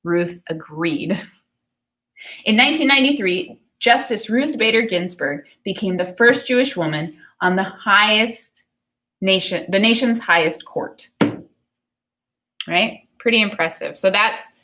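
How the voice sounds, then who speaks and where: A woman teacher reading a picture book aloud and pausing to explain it, often checking for understanding. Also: medium pitch, lively intonation, sharp tone.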